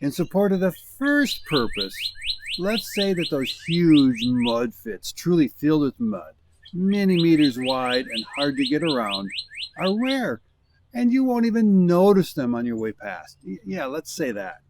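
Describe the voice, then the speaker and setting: A man's voice: a little high, very animated, a little slow, noisy background. A middle-aged man with an American accent narrating his long-distance hike in a wry, understated storytelling voice. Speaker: hiking vlogger. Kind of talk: scripted travel narration.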